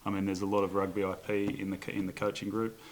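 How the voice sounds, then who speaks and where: Aussie player, deep monotone, measured and thoughtful. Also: male voice, a little hiss or hum, poor audio. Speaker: rugby player. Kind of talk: press conference answers.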